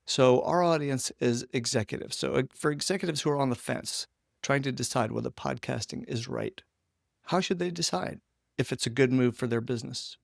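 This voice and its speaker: Gravelly male interview host, dry sound. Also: mid-range voice, moderate pace, dry recording. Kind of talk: conversational interviewing.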